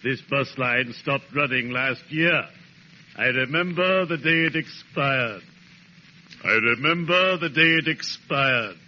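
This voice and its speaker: A man's voice: mid-range voice, takes it slowly, natural voice. An actor playing a genteel, dignified, funereal man with pompous, theatrical delivery in old radio comedy. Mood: solemn, grandly polite. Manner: pompous, theatrical, savoring each word. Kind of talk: 1940s-50s radio comedy acting. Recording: dull noisy old radio recording.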